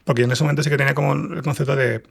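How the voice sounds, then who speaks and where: A man from Spain with a Spanish accent and a husky, gravelly voice, chatting casually as an interview guest and telling anecdotes. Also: mid-range voice, fairly even intonation, very fast. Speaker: podcast guest, fiction writer and comics editor. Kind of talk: casual interview answers, storytelling.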